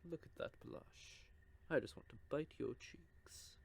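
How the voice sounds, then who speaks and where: A man voicing a cocky, possessive male vampire who teases with soft whispered asides, in an audio roleplay. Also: fairly high pitch, unhurried, creaky, breathy edge, exaggerated voice. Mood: teasing. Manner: teasing, smug, with soft whispered stretches.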